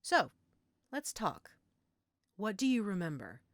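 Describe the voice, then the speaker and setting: A woman playing a middle-aged motherly healer in an audio roleplay, gentle, reassuring and coaxing. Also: mid-range voice, very animated, slow pace, no echo. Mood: gentle, serious. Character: calm motherly werewolf-den healer named Judith, tending a patient.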